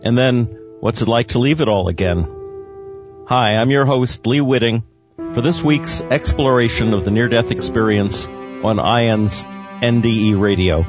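A man's voice: medium pitch, measured pace, highs cut off, over music. A man hosting a radio interview show, asking questions in an easygoing way with some fillers. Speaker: radio host.

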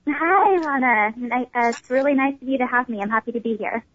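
A woman's voice: sits high, fairly quick, fairly bright tone, no echo. Tiny-voiced young woman on a phone line. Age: 20s. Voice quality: small, tiny voice. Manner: polite, upbeat, many ums. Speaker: pageant winner guest. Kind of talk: phone interview.

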